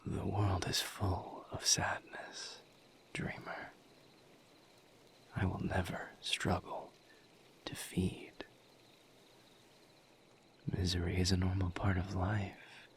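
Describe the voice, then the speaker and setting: A man playing a calm, gentle, formal misery demon in an intimate roleplay audio, with a hushed, breathy voice and measured, deliberate phrasing. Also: low voice, heavily acted. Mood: earnest, soothing. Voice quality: hushed, breathy near-whisper. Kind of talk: soft-spoken roleplay audio, intimate monologue to listener.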